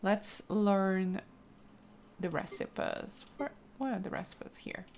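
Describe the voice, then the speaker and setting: A woman's voice: medium pitch, slow pace, creaky, darkish tone, a little hiss or hum. A woman giving casual, chatty let's play commentary with an enthusiastic, cooing manner. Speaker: gaming video host. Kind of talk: casual let's play commentary, reading game text aloud.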